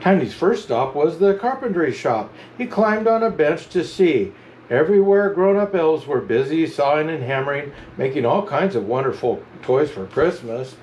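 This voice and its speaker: A man reading a children's storybook aloud as a Santa storyteller, with animated, chuckling narration. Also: a little high, normal speed, slight background noise. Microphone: camera mic.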